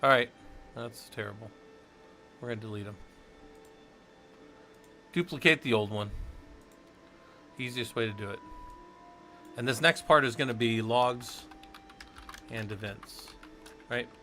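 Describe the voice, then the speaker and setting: A man's voice: mid-range voice, measured pace, pauses often, bright, forceful tone, faint music behind. A man from the Southwest United States rambling and thinking aloud while teaching a casual live-stream tech tutorial, chatting with viewers as he works.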